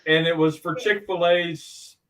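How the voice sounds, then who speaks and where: Guy cohost telling a quick story, high voice, joking around. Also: steady tone, fairly bright tone. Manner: jokey, tells short anecdotes. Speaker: co-host. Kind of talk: casual chat.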